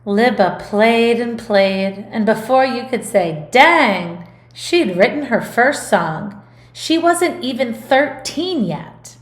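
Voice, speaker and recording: A woman music teacher reading a picture book aloud in an expressive, deliberate storytelling delivery. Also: a little high, roomy sound.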